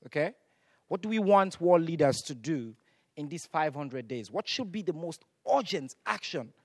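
Lively, rousing man from Sierra Leone. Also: fairly high pitch, dry recording. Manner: rousing, calls out to the crowd. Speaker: moderator of a youth forum. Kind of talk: energetic audience moderation.

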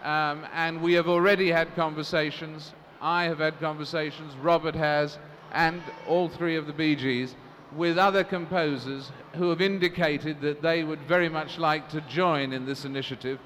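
A man's voice: a little high, fairly even intonation, normal speed, few pauses, a bit bright. A man moderating a press conference, speaking formally and measuredly, with frequent restarts and fillers. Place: large assembly hall. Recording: reverberant hall, faint noise.

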